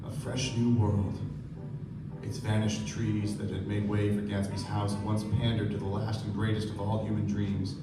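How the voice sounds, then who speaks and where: Reflective, disillusioned young man played by a male actor, low measured radio-play narration, distant mic, music prominent behind. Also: very echoey, natural voice. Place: live theatre stage.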